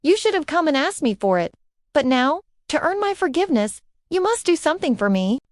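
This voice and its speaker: A woman's voice: high-pitched, big pitch swings, brisk, dry recording, studio quality, voice pushed up. A woman voicing a stern, scornful male Tsar in a fairy tale. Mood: commanding.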